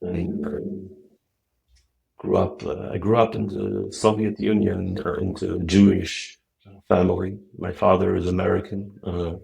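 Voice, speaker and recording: A middle-aged man from Moldova, a native Russian speaker, talking softly and haltingly with many fillers as a guest on a video call. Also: sits low, level tone, vocal fry, low-quality recording. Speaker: guest, Zen teacher. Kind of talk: relaxed interview talk. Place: dim wood-walled room.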